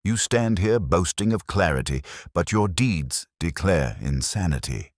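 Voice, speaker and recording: A man voicing a coolly analytical gentleman detective in an audiobook, stern, composed and precise. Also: deep voice, lively intonation, measured pace, dry recording, studio quality.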